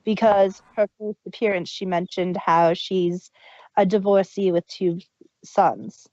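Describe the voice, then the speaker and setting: A woman's voice: mid-range voice, steady tone, unhurried, warm tone, no room sound, dull audio. A woman co-hosting a casual review chat, recounting story details at length. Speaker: podcast co-host.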